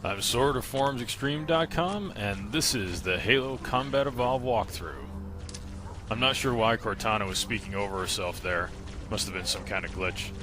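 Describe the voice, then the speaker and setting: A man's voice: on the low side, noisy background. A man giving matter-of-fact, explanatory gameplay commentary as the narrator of a video game walkthrough. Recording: loud game audio behind voice. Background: shooter game sound effects.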